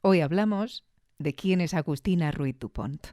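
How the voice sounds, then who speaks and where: A woman's voice: low voice, unhurried, dark tone, no room sound, studio quality. A woman with a Spain Spanish accent, hosting a show in a conversational, reflective, explanatory way. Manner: reflective, explanatory, with rhetorical questions. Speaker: audio fiction review show host. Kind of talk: conversational commentary.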